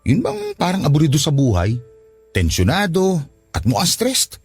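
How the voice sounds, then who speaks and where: A man's voice: a little high, wildly swooping pitch, moderate pace, gravelly, faint music behind, exaggerated voice. A man playing a concerned, teasing male friend in a Filipino radio drama, probing and informal.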